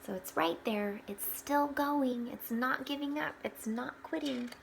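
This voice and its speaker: A woman reads a children's picture book aloud at an unhurried pace. Her voice sits a little high and she tells it expressively, adding small explanatory asides. There is faint noise behind her. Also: creaky. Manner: expressive, with hushed asides pointing things out.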